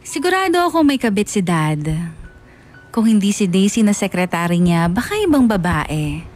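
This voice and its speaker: An actor with a Filipino accent playing a bitter, wounded young son, narrating confessionally in mixed Tagalog and English for a radio drama. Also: male voice, high voice, sing-song, normal speed, faint music behind. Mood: bitter, brooding. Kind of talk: dramatised first-person letter narration.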